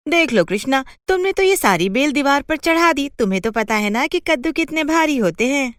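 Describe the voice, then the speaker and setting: A chatty neighbour woman from a cartoon moral tale , acted by a woman. She speaks in a high, dry voice, flattering and prying as she goes. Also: normal speed, no room sound. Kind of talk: animated children's moral story dialogue.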